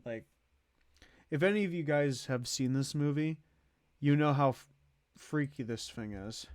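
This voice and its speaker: Male streamer for casual ranking commentary. Unhurried, halting, thinks out loud, very dry recording. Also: medium pitch, no room sound. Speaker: streamer hosting a movie tier list.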